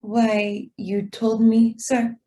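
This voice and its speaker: An actress playing a polite young office stenographer, deferential yet evasive and quietly persistent, in a radio drama. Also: female voice, on the high side, level tone, drawn-out words. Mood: calm, persistent. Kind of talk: stage-style radio drama acting.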